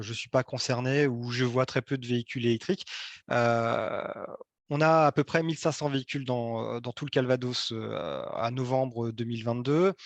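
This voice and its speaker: A man presents in an explanatory tone, feeling his way through his sentences with many hesitations. His voice sits at a medium pitch. The sound is dry and close, with very little room around it. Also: no echo. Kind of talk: explanatory presentation to local officials.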